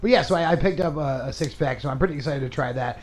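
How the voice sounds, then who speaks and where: A man's voice: on the high side, fast. A man hosting a comedy podcast in casual, crude chat, rambling, self-mocking and profane. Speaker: podcast host and stand-up comedian.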